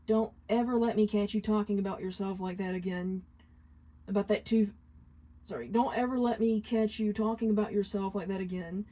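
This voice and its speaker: A woman voicing a calm, protective male demon in a fanfiction reading, speaking softly, seriously and with formal wording. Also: medium pitch, natural voice. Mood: tender, protective. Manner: soft, measured, formal.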